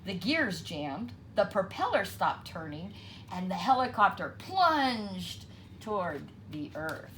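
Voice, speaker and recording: A woman reading a children's picture book aloud with expressive storytelling narration. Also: medium pitch, slow pace, slight background noise.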